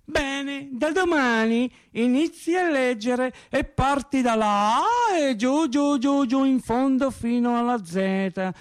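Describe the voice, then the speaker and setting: A man's voice: very high voice, slow, few pauses, clean tone, voice pushed up. A man voicing a bossy, bookish working-class wife who scolds with insistent, challenging questions in a radio theatre monologue.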